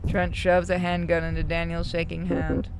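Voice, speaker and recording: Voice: medium pitch, completely flat delivery, normal speed, slight background noise, rough recording. A streamer narrating a horror visual novel aloud and breaking off for casual asides.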